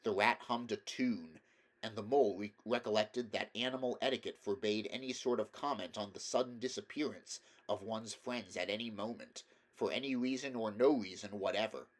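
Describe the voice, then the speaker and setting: A man narrating a children's animal story as an expressive audiobook reader. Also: lowish voice, moderate pace.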